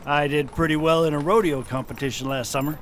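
A man's voice: a little high, steady tone, fast talker, a bit bright, slight background noise, natural voice. A man playing a hearty, welcoming small-town sheriff in an audio drama. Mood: genial. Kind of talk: radio drama acting.

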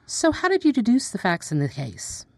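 A slick, probing news anchor, played by a woman, with a very animated broadcaster's lilt. The sound is dry and close. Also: medium pitch, creaky, dry recording. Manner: brisk, probing broadcaster delivery. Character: slick female TV news presenter. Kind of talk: radio drama acting.

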